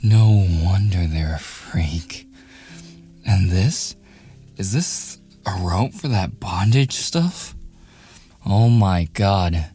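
Man playing young male student bully, lowish pitch, slow pace, sarcastic, defiant, easily flustered, ASMR roleplay, faint music behind. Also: warm tone. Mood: scornful. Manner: sarcastic, taunting, hesitant stammering under pressure. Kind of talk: boyfriend ASMR audio roleplay, close and intimate acting.

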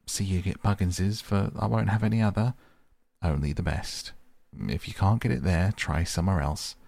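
A hearty, commanding water rat from a storybook, put on by a deep-voiced man. He talks in a very low, gravelly register and gives his orders briskly. The sound is close and dry. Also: darkish tone, no room sound.

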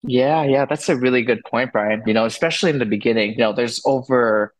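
A man from Canada, a podcast guest, chatting casually and quickly with many "you know" fillers and restarts. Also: mid-range voice, dry recording. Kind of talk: casual interview chat.

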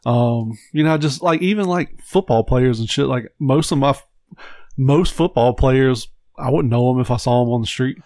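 A man's voice: medium pitch, normal speed, a little mellow, no room sound, clean studio sound. A man co-hosting a podcast in casual chat, laid-back, with crude jokes and frequent swearing. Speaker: podcast co-host.

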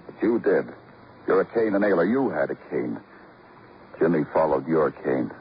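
A low-voiced hard-boiled detective. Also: male voice, expressive, normal speed, slight background noise. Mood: accusing, terse. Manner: terse, accusing, brooding. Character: hard-boiled, world-weary New York police detective. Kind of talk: 1950s radio noir acting and narration. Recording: muffled vintage radio recording.

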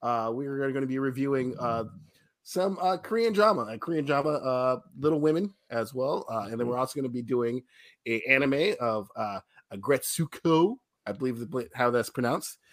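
Animated, joking male podcast host. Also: mid-range voice, moderate pace. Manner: joking, self-deprecating, playful. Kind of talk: casual comedic podcast hosting.

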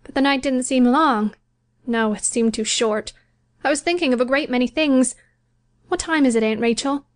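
A woman reading a story aloud, voicing a gentle, resigned young bride who speaks softly and pleads. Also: high voice, normal speed, close to an everyday voice. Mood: soft, wistful. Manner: soft and pleading. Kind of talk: audiobook character voice.

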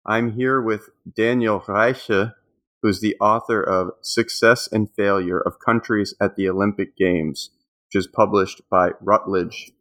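A man's voice: lowish voice, steady tone, a little slow, a bit bright. A man hosting a book interview podcast, hesitant and repeating words as he asks questions. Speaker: podcast host.

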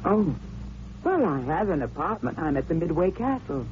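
Catty female columnist, high animated voice, arch, coquettish, old radio mystery, tinny noisy recording. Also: normal speed. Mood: coy, flirtatious. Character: haughty, sharp-tongued female newspaper columnist, flirtatious and guilty. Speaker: actor in a radio drama.

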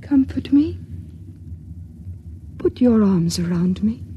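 A woman acting in an old radio drama as a timid, fearful spaceship dweller, hesitant, breathy and emotional. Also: medium pitch, lively intonation, slow, muffled recording. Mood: frightened, yearning. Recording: noisy old radio recording.

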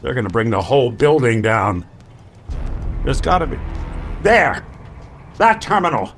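Gruff detective with wide-swinging pitch. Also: male voice, sing-song, unhurried, a bit bright, soft background music. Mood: desperate concern. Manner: urgent, emphatic. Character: gruff, urgent, loyal male detective. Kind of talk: radio drama acting.

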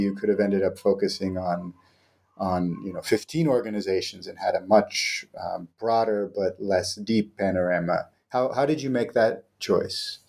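A man's voice: fairly low pitch, a little mellow. A man hosting an interview, asking questions in a hesitant way and rephrasing as he goes. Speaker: podcast host.

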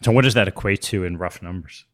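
Low-voiced male business interviewer. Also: fast. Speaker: interviewer, host. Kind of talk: conversational business interview questioning.

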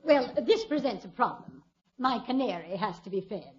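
A woman's voice: mid-range voice, sing-song, moderate pace, very noisy, natural voice. A woman playing an overbearing, haughty older mother-in-law in a 1950s radio comedy. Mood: imperious. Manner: imperious, haughty, theatrical. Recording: dull vintage radio broadcast.

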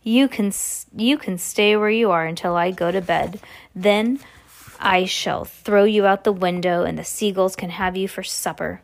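A woman's voice: medium pitch, a little slow, gravelly. A woman playing an imperious, snappish witch villain. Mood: gloating menace. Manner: snapping, commanding, scornful.

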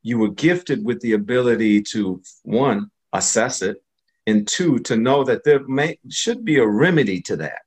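A man's voice: medium pitch, expressive, normal speed, husky. A man hosting an interview, affirming his guest and asking strings of questions. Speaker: interview host. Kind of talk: conversational interview.

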